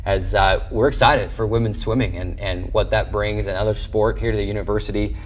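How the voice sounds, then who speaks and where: A man hosts a sports interview in a fairly low voice. He talks conversationally and takes a winding route to each question. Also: fairly even intonation, fairly quick, a little hiss or hum, poor audio. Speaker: show host and interviewer.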